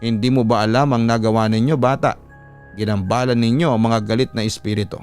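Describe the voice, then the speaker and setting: A man's voice: medium pitch, steady tone, brisk, a little mellow, smooth, faint music behind, lightly acted. A man voicing a solemn, devout priest who urgently calls for prayer against spirits in a horror story. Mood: alarmed, stern.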